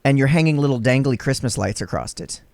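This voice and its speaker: A man's voice: medium pitch, animated, fast talker, vocal fry, a little mellow. A man in his thirties hosting a podcast from a small home studio, joking in a playful, mock-serious way on a stand mic. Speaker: podcast host. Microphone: studio mic on a stand, wearing headphones.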